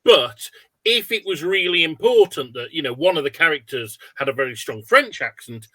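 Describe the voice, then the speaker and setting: A man hosts an informal interview in a bright, slightly high voice with very little room sound. He puts questions plainly and checks what he has understood by offering examples. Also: very animated, moderate pace, dry recording. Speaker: webinar host, interviewer.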